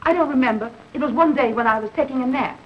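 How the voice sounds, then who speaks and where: A woman's voice: high voice, fast, natural voice. An actress playing a distressed, evasive, defensive woman in a 1930s film melodrama on a muffled, noisy soundtrack. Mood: upset, evasive. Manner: agitated, defensive.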